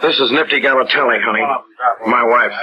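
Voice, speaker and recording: A man's voice: mid-range voice, steady tone, fairly quick, sharp tone. A man playing a weary, short-tempered baseball umpire in an old radio drama that he also narrates. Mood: guarded. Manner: terse, exasperated. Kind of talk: old-time radio drama acting with first-person narration. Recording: dull, noisy old radio recording.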